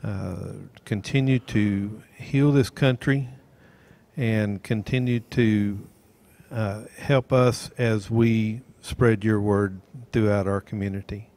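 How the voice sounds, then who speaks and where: A man speaking slowly and reverently on a devotional panel and leading a closing prayer into a handheld mic. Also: on the low side, fairly even intonation, darkish tone. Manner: slow, measured, reverent. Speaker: church member.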